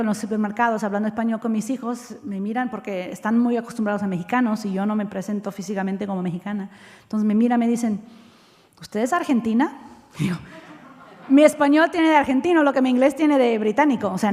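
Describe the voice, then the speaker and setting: A woman's voice: a little high, a little mellow. Bilingual woman speaker in an echoing hall. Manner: candid, jokey, switches between Spanish and English. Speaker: guest speaker. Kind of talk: Q&A answers after a talk. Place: lecture hall. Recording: long hall reverb.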